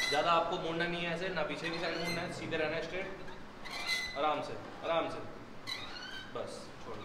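A man's voice: fairly high pitch, noisy background. A young man coaching a gym workout on camera, giving instructions and encouraging praise to a trainee. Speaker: gym trainer and fitness channel host. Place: gym, indoors. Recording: echoey gym room, loud background ambience.

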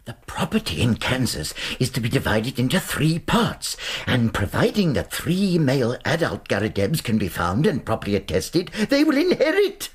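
An actor playing an eccentric, greedy old man who collects fossils, with a breathy, fluttery voice, in a radio drama. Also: mid-range voice, huge pitch swings, normal speed, hardly any pauses. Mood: dotty excited. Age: older.

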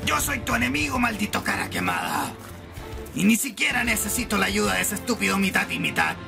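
A man's voice: high voice, big pitch swings, brisk, gravelly, music in the background, voice pushed up, heavily acted. A man voicing an arrogant, explosive teenage boy anime hero who speaks in aggressive insults. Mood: combative.

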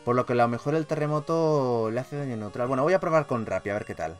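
A man with a Castilian accent from Spain giving excitable, sweary let's play commentary as a gaming YouTuber. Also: mid-range voice, quick pace, soft background music. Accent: Castilian Spanish from Spain. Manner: animated, expressive, swears freely.

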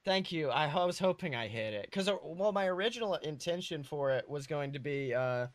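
A man's voice: fairly high pitch, animated, a little fast, no echo. A man co-hosting a podcast, reading and discussing his own comic fan fiction. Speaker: podcast co-host.

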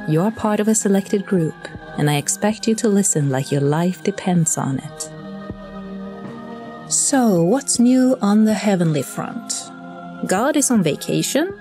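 A woman's voice: medium pitch, animated, slow pace, dark tone, soft background music. A woman playing a chatty, bureaucratic angel messenger who narrates with dry, polite condescension. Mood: self-assured. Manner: politely condescending, dryly wry. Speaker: narrator and in-story host. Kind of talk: scripted narration, addressing listeners.

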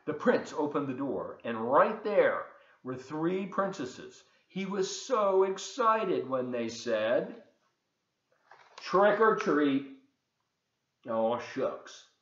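A man in his seventies reading a children's storybook aloud in a folksy, expressive way with chatty asides to young listeners. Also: medium pitch, slow pace. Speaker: volunteer storybook reader. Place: open living room and kitchen, indoors. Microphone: webcam at desk distance. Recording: dull, roomy home webcam recording.

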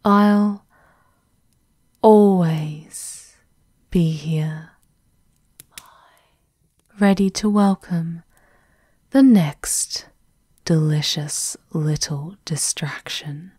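A woman playing a devoted, menacing servant of a vampire countess, formal and softly sinister in an ASMR roleplay, often in a half-whisper. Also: low-pitched, drawn-out words, long pauses, slightly rough, dry recording, studio quality, exaggerated voice. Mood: cold, threatening.

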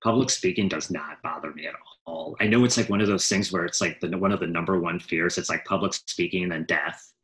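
A man's voice: sits low, a little fast, few pauses, a bit bright, poor audio. A man in his forties, a guest actor, chatting casually on a video call through a headset mic from a home room, often correcting himself mid-sentence. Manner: rambling, self-correcting, frequent asides. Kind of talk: casual interview.

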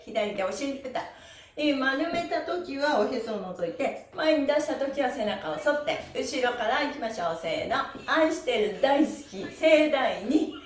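A middle-aged woman leading a live-streamed exercise class in a cheerful, encouraging way, exclaiming as she instructs. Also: high voice. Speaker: stretch instructor and pantomime teacher. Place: small bare room indoors with wooden floor. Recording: roomy, echoey indoor sound.

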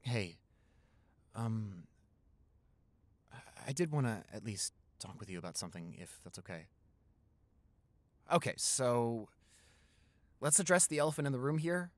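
A man's voice: medium pitch, expressive, measured pace, long pauses, dry recording, lightly acted. Young male boyfriend played by a man for roleplay audio: mid-range voice, playful, tender, conversational, very dry recording. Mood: tender, soft. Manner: conversational, hesitant, affectionate. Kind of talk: intimate boyfriend roleplay, improvised.

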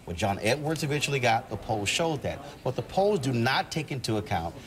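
A man's voice: medium pitch, very animated, brisk, slight background noise. A man on a studio panel insisting on his point about polls. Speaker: political commentator.

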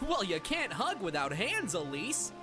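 A woman's voice: medium pitch, big pitch swings, measured pace, loud music behind the voice. A woman voicing a cocky young male hedgehog hero in an improvised fandub, chatting in a bubbly, valley-girl way. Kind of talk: improvised real-time fandub.

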